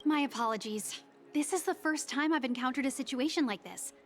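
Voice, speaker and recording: A courteous young receptionist played by a woman in a video game. Her voice is high and formal, apologetic and easily flustered. Music is faint behind her. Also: a little fast, lightly acted. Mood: polite.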